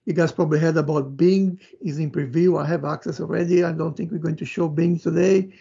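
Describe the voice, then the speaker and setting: Brazilian guy doing a casual tech talk, gravelly kinda mellow voice, muffled audio. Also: on the high side, steady tone, fairly quick. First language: Portuguese. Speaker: tech presenter. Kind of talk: informal tech presentation.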